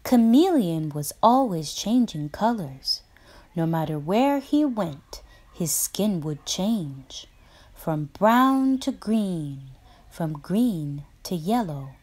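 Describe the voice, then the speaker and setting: Slow, expressive woman reading a storybook. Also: medium pitch. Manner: deliberate, dramatic delivery with emphasis. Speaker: teacher reading a picture book aloud. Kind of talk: expressive children's storybook narration.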